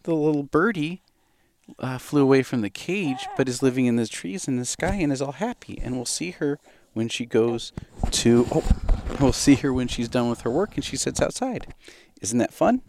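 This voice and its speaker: A man hosting a casual bedtime story show for a baby, with gentle child-directed asides, speaking into a headset mic in a studio. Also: medium pitch, expressive, normal speed, noisy background. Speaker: host reading stories to a baby.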